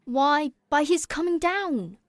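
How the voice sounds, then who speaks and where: A woman's voice: sits high, expressive, slow, dry recording. A woman playing a cunning, well-bred young boy in a gothic audio drama, speaking with polite, knowing coaxing. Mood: sly coaxing.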